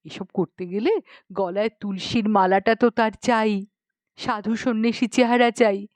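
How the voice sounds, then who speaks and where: A woman's voice: mid-range voice, steady tone, unhurried, darkish tone, dry recording. A woman narrating a short story expressively, as for an audiobook.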